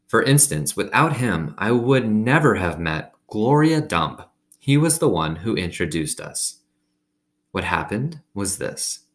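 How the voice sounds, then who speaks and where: A man's voice: low voice, a bit bright. A man reading a children's story aloud expressively, with casual asides to his listeners. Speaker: reader reading a children's novel aloud to students.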